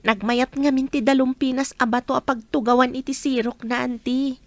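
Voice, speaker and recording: A woman's voice: high voice, steady tone, fairly quick, a little mellow. A woman playing a caring young village health worker in a radio drama. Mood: friendly, wistful.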